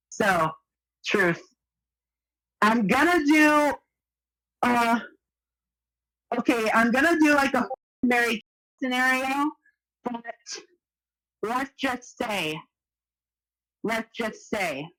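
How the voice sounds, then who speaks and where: A woman's voice: on the high side, fairly bright tone, rough recording. A woman chatting casually on a group call, playing a party game. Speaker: truth or dare player.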